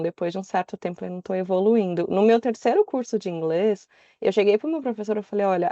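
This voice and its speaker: A woman's voice: mid-range voice, warm tone, dry recording, highs cut off. A woman in her thirties with a Brazilian Portuguese accent, talking casually about her own experiences as a podcast guest. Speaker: podcast guest living abroad. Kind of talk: casual conversational storytelling.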